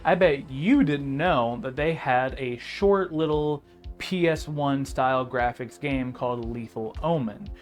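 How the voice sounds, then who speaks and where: A man's voice: mid-range voice, a little slow, faint music behind. A young man delivering a casual video essay as narrator, informal and sardonic with joking asides.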